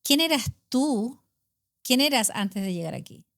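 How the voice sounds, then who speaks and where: A woman's voice: sits high, lively intonation, a little slow, a bit bright, no echo, studio quality. A middle-aged woman with a Chilean accent, hosting a casual podcast chat and telling stories with frequent tag questions. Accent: Chilean Spanish. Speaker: podcast host.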